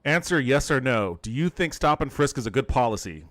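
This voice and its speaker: A man's voice: medium pitch, very animated, fairly quick, fairly bright tone. Guy streaming a game, chatty and kinda incredulous, swears a bit, little bit of background noise. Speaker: video game streamer and podcast host. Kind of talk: casual gameplay commentary.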